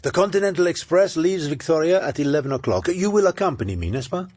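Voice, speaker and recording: A fastidious French-accented detective in a radio drama. His voice is husky and swings animatedly as he lectures with proud, formal precision. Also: on the high side, expressive, a little mellow. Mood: intrigued. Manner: precise, formal, didactic phrasing. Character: proud, fastidious middle-aged foreign male detective.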